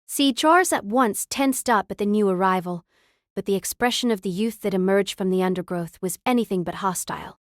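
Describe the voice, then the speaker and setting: A woman's voice: medium pitch, fairly quick, no echo. Dry-sounding woman reading story narration. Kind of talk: scripted audiobook narration.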